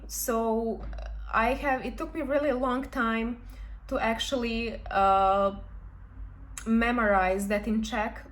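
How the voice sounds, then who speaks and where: A young woman talks through language points in a friendly, thoughtful way. Her voice sits a little high. She pauses with ums and corrects herself as she goes, like someone chatting to camera. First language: Serbian. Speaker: language vlogger. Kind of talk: explaining to camera.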